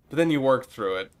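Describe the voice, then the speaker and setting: Man, Midwestern accent, young adult, game streamer, slow drawl, casual and swearing. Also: mid-range voice. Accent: Midwestern American. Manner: slow, nostalgic, swears casually, laughs often. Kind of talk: casual gameplay commentary.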